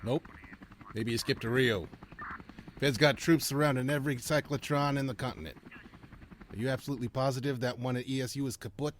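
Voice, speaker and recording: Man acting gruff police lieutenant, dry, mid-range voice, noise behind. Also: mid-range voice, steady tone, a little fast, long pauses, natural voice. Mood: dry, businesslike. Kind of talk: radio play script reading. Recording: noisy recording with background ambience.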